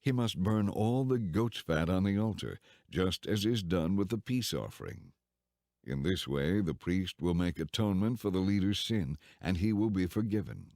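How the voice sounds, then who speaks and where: Deep-voiced man solemnly reading scripture. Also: lively intonation, darkish tone, no echo, studio quality. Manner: measured, formal reading. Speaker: audiobook narrator.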